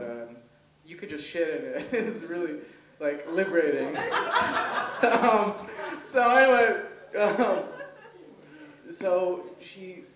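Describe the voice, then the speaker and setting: A man's voice: a little high, a bit bright, a little hiss or hum. A young man whose first language is Hebrew doing his first stand-up set, rambling with lots of ums and laughing at his own jokes. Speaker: stand-up comedian. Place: comedy event stage. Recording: roomy, voice from far off.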